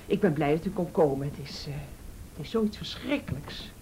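A woman's voice: sits low, lively intonation, normal speed, mellow, rough recording. A woman acting a deferential, fussy neighbour in a radio play, gushing and seeking agreement. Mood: polite, flustered. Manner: fussy, gushing, full of tag questions. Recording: faint background hiss.

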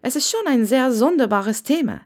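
A woman's voice: high-pitched, animated, moderate pace, darkish tone, pitched above the performer's own voice. A woman voicing a talkative, inquisitive female innkeeper who spooks easily, in an audiobook story. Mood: surprised, chatty.

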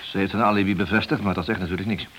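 An actor playing a brusque, hard-nosed police detective in a radio drama, with a blunt manner. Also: male voice, low voice, fairly even intonation, very fast, fairly bright tone. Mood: matter-of-fact. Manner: blunt, needling. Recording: dull, noisy old radio play recording.

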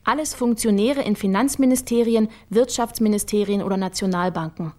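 Female investigator for radio crime drama played by an actress: mid-range voice, clear-headed, matter-of-fact, methodical. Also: medium pitch, fairly quick, a little mellow, lightly acted. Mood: confident explaining. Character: sharp, methodical woman investigator working for the prosecutor. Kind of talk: naturalistic German radio crime drama acting.

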